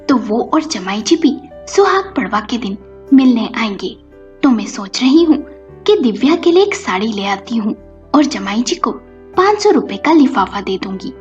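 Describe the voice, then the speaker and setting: A woman's voice: on the high side, darkish tone. A woman voicing a meek, dutiful young daughter-in-law in a family story, deferential and pleading. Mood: polite, hopeful. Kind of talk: dramatized Hindi family storytelling. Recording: dull lo-fi audio with music behind.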